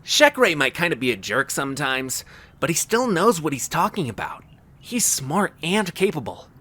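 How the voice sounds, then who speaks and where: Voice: medium pitch, big pitch swings, moderate pace, sharp tone, slightly rough, full character voice. A performer voicing a modest, self-doubting young sidekick creature in an audio drama, hesitant and bashful.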